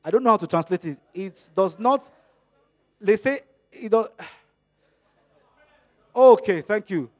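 A middle-aged man whose first language is Yoruba, preaching a sermon in an animated way, acting out little dialogues as he goes. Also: unhurried, pauses often. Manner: animated, acts out dialogues with rising pitch and volume.

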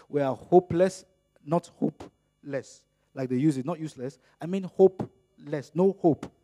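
A middle-aged man whose first language is Yoruba, preaching a sermon in an animated way, acting out little dialogues as he goes. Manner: animated, acts out dialogues with rising pitch and volume.

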